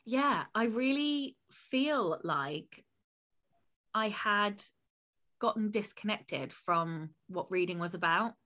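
A young adult woman co-hosting a casual podcast, animated and rambling, laughing often. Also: mid-range voice, slow. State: voice lower than usual. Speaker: podcast co-host. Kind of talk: casual chat.

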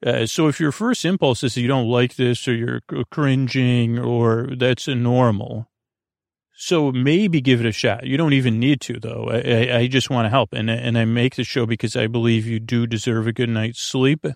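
A man with a creaky voice hosting a bedtime podcast, speaking in a lulling, gentle, meandering way, hesitating and drifting into tangents. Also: medium pitch, steady tone, normal speed, no echo, clean studio sound. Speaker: host of a bedtime story podcast. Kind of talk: rambling, soothing bedtime monologue.

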